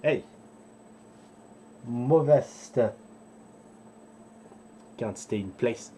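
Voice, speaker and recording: A man, an English speaker, hosting an informal history explainer in a halting, digressive way as he searches for words. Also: mid-range voice, mellow, a little hiss or hum. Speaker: host of a history video series. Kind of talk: informal explainer with live translation from a book.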